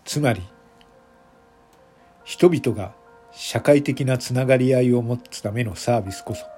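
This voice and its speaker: A man reading scripted narration for an audio drama in a measured, deliberate manner, phrase by phrase. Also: mid-range voice, warm tone, breathy edge.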